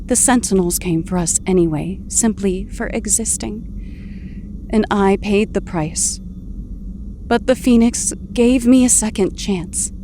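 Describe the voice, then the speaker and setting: A woman acting an earnest, confused heroine back from death in an audio drama, pleading and halting in manner. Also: mid-range voice, dark tone, slight background noise, natural voice, poor audio. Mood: earnest, vulnerable.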